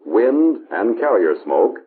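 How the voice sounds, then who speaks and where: American male narrator, vintage 1950s training film: lowish voice, lively inflection, measured authority, narrow tinny soundtrack. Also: slight background noise.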